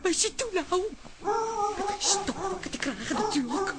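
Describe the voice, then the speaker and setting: An actress playing a fretful, frightened daughter in a comic Dutch radio play, whining and exclaiming. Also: female voice, very high-pitched, animated, a little slow. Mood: panicky. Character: panicky, superstitious daughter afraid of burglars. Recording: very noisy, dog barking behind.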